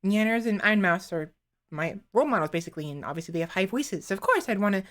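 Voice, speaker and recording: A woman streamer chatting casually into a desktop microphone, rambling and halting, often restarting her sentences and poking fun at herself. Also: mid-range voice, expressive, a bit bright. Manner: self-deprecating. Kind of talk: casual live-stream chat.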